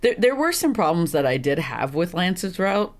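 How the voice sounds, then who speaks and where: A woman with a deep, rough, slightly hoarse and congested voice, rambling through casual gameplay commentary and laughing at herself often. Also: sing-song, normal speed. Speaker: streamer playing a visual novel.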